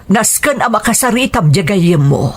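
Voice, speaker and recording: A woman playing a concerned mother, gently counselling her grown son in an audio drama, with a husky voice. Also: mid-range voice, very animated, normal speed. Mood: worried. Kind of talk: melodramatic audio drama acting.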